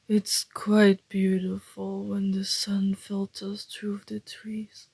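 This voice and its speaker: A woman voicing a flirty, teasing male vampire in an intimate ASMR roleplay, speaking in a deliberate half-whisper. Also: mid-range voice, slightly rough, no room sound. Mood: wistful, coaxing.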